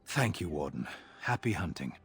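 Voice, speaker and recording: An actor playing an exasperated, sarcastic city guard officer in a fantasy video game, grumbling gruffly in a husky voice. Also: male voice, extremely low pitch, sing-song, normal speed, no echo, exaggerated voice. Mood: wry, firm.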